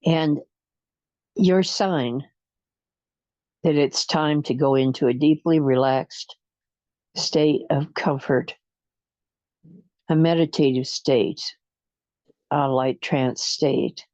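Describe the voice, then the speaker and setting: A guide leading a guided imagery relaxation session, speaking in a soothing, hypnotic way with long pauses and slow counting, on a dull lo-fi recording. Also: medium pitch, a little mellow, no room sound.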